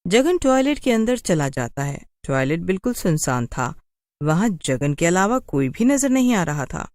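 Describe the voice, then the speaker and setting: A woman's voice: lowish voice, big pitch swings, normal speed, darkish tone, no echo, clean studio sound. A woman narrating a scripted cartoon story in a dramatic storytelling style.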